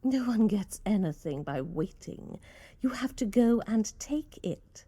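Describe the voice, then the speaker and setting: A woman's voice: medium pitch, lively intonation, a little slow, dark tone, husky. A woman playing a self-righteous, grudge-bearing office worker in a radio play, speaking in a prim, haughty way. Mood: cold, resentful.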